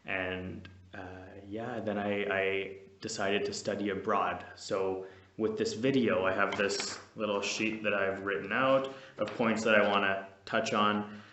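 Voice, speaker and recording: A man's voice: lowish voice, flat delivery, measured pace, fairly bright tone, a little hiss or hum. A young man, vlogging casually and a bit nervously, rambling with many fillers and restarts. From Canada. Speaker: vlogger, veterinary student. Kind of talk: casual to-camera vlog. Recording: echoey room, distant mic.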